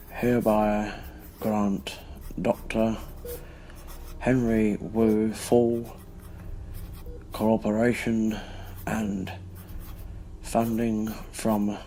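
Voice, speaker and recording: Radio play casting: male actor as frail elderly tycoon, low-pitched, very slow and halting, stubborn and earnest. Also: warm tone, slight background noise, rough recording. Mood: formal, determined. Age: older. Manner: halting, pleading. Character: frail old businessman, earnest and stubborn, in a hospital bed.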